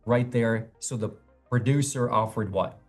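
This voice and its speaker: A man working as a sales trainer, giving measured, explanatory instruction. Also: medium pitch, soft background music. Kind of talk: instructional narration.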